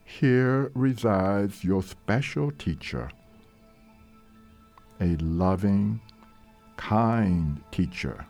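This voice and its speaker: A man guides a meditation with a soft-edged, mellow tone and very slow pacing. His phrases are gentle and measured, separated by long pauses, and his pitch moves expressively up and down. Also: lively intonation. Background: soft meditation music.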